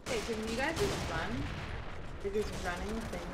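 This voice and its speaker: A woman's voice: on the high side, animated, moderate pace. A woman chatting casually and playfully while gaming, swearing freely as she banters. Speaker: gamer in a multiplayer match. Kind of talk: casual gameplay chat with swearing. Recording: gunfire and artillery game noise behind. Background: game battle sounds, gunfire.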